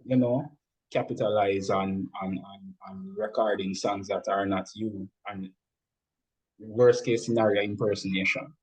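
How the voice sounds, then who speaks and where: Slow, low-voiced man explaining. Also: fairly even intonation, breathy edge, rough recording. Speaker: interview guest, music industry expert. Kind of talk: explanatory interview talk.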